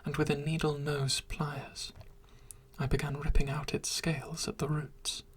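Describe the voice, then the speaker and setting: A narrator reading a dark story in a soft, steady whisper. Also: mid-range voice, measured pace. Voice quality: very breathy.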